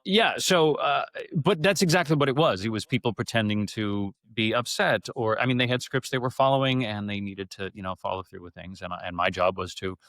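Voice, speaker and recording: A middle-aged man answering as an interview guest in a conversational, rambling way, often halting and repeating words. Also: lowish voice, a bit bright, no room sound.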